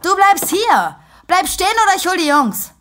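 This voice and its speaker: A woman's voice: extremely high pitch, big pitch swings, moderate pace, noisy background. A woman acting in a German audio drama as a brazen brothel receptionist, saucy, mocking and bossy. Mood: alarmed, bossy.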